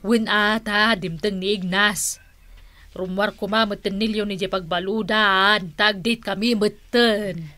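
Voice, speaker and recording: A woman's voice: medium pitch, steady tone, unhurried, sharp tone, no echo. A woman playing a playful, theatrical auntie in a comic radio drama. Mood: teasing, lovestruck.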